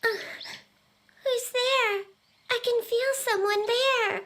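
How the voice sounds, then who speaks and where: A woman voicing a timid but brave, gentle little girl in an audio drama. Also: very high voice, fairly even intonation, takes it slowly, exaggerated voice. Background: faint background music.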